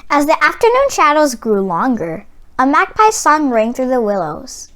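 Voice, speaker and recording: A woman reading a children's storybook aloud in a careful, expressive storytelling delivery. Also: high-pitched, moderate pace. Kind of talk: expressive scripted storybook narration.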